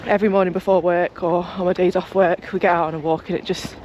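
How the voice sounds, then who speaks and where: A young woman, chatting casually to her camera as a cycling vlogger, rambling and talkative, her voice a bit croaky from just waking up. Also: fairly low pitch, little pitch movement, fast talker, a little mellow, lo-fi sound, a little hiss or hum. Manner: chatty, rambling, talks a lot. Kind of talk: casual vlog chat to camera. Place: home, then outdoors on a walk.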